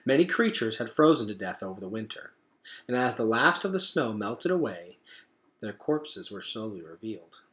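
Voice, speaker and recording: A man narrating a children's storybook aloud in an expressive storytelling manner, like a teacher reading to pupils. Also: lowish voice, normal speed.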